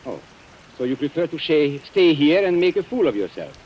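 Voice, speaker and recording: A man's voice: a little high, big pitch swings, normal speed. A man acting in an old-time radio thriller as a smug, taunting shopkeeper and blackmailer, suave, pompous and mocking. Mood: smug, threatening. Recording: muffled vintage radio, faint noise.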